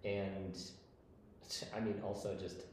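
A man's voice: deep voice, little pitch movement, slow. A man talking as a panelist in a live discussion. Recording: very echoey room, faint background noise.

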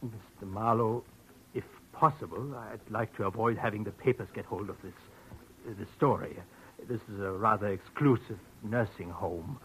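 Earnest radio doctor, noisy old recording. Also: male voice, on the low side, moderate pace, a bit bright. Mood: anxious, pleading. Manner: formal, precise, emphatic. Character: earnest, anxious male doctor of exclusive nursing home. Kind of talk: 1940s radio detective acting.

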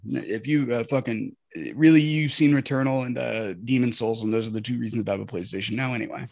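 A man co-hosting a casual podcast with a raspy, fry-heavy voice, swearing freely and clearing his throat. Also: mid-range voice, steady tone, fairly quick, darkish tone, no room sound. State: high on cannabis. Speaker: podcast co-host. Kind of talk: casual chat.

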